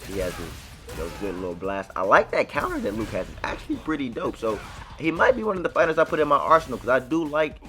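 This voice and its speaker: A man's voice: mid-range voice, big pitch swings, fast, a bit bright. A man giving casual, conversational gameplay commentary full of informal slang. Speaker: gaming video creator. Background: game music.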